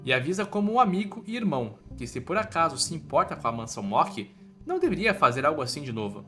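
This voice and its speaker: A man's voice: fairly high pitch, big pitch swings, quick pace, sharp tone, faint music behind. A man with a Brazilian accent doing scripted story narration in an informal, playful way with slangy wording. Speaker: recap narrator.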